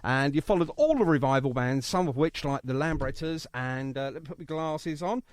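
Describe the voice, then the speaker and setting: A man's voice: a little high, animated, brisk, no echo. A British man hosting a live radio show with chirpy, teasing, jokey banter. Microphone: studio radio microphone. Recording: music behind the voice. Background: music bed.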